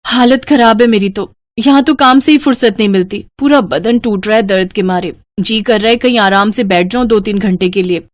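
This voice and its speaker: A woman's voice: medium pitch, fairly quick, few pauses, no echo, close to an everyday voice. A woman voicing a young, overworked newly married daughter-in-law in a cartoon story, earnest and easily fed up. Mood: fed up, insistent. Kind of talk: Hindi cartoon story dialogue.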